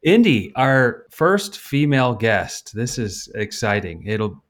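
A middle-aged man hosts a casual podcast. He talks in an easygoing way and asks curious questions at a medium pitch. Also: very animated, takes it slowly. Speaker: podcast co-host. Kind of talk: casual interview chat.

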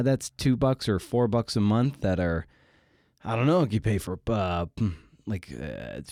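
A man's voice: on the low side, darkish tone, no room sound, studio quality. A man hosting a podcast in a rambling, casual way, with a wry, self-deprecating, digressive manner. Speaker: podcast host and interviewer.

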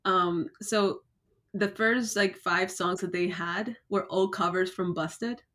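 Excitable woman podcast host. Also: on the low side. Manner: excitable, quick questions. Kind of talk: casual interview chat.

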